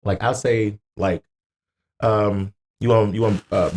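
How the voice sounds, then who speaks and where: Low-voiced American man chatting casually. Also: fairly even intonation, takes it slowly, a little mellow. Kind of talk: casual chat.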